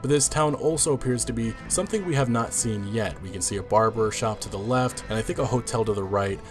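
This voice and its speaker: A man's voice: medium pitch, a little fast. A man giving breakdown commentary on a video game trailer. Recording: music behind the voice. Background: music.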